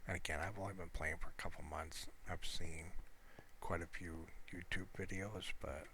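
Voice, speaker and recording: A man's voice: low-pitched, steady tone, moderate pace, sharp tone, slight background noise. A man giving casual, rambling gameplay commentary in a hesitant, meandering way, often correcting himself. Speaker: gamer narrating own gameplay.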